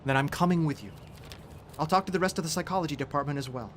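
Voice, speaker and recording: An earnest young student, played by a man with a high-pitched voice. He reasons things out articulately, as a dutiful, analytical son in a radio drama. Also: fast talker, a bit bright, slight background noise, lightly acted. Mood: concerned. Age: young adult. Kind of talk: radio play acting.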